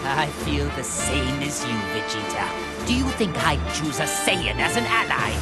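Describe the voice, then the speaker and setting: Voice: mid-range voice, expressive, measured pace, close to an everyday voice. An actor playing a cold, haughty alien tyrant in an anime game, sneering pompously and with menace. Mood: cold disdain. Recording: loud music under the voice.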